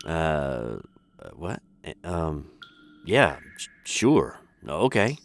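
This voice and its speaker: An actor playing a bewildered, polite American captive in a comic radio sitcom. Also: male voice, extremely low pitch, big pitch swings, very slow, full character voice. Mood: confused. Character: bewildered, polite American man chained in a dungeon. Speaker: narrator star of the show.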